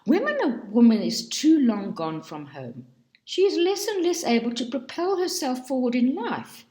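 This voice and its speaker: A woman reading a book aloud in a slow, deliberate and expressive way. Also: sits high. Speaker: reader. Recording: roomy echo.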